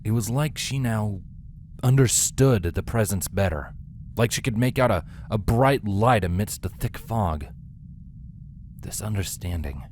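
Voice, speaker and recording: A man's voice: lowish voice, animated, measured pace, a little mellow, a little hiss or hum. A man reading scripted fiction aloud as a storyteller, in the style of an audiobook.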